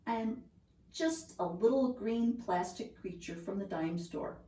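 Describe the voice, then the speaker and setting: A woman reading a children's picture book aloud in a measured, deliberate storytelling manner, recorded at home. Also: fairly high pitch, darkish tone. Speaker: storybook reader. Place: indoors at home. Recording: roomy home recording, distant mic.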